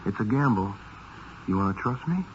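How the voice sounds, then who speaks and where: Actor as blunt hard-boiled detective, muffled vintage radio. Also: male voice, fairly low pitch, lively intonation, moderate pace, close to an everyday voice. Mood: urgent, commanding. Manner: clipped, direct questioning. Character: blunt, quick-thinking male detective, hard-boiled and in command. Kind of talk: 1970s radio mystery acting with first-person narration. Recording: dull, hissy 1970s radio recording.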